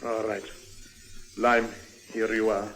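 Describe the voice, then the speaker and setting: An actor playing an exasperated, indignant police inspector in a 1950s radio drama, with a clipped manner. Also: male voice, fairly low pitch, little pitch movement, takes it slowly, a bit bright. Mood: grudging.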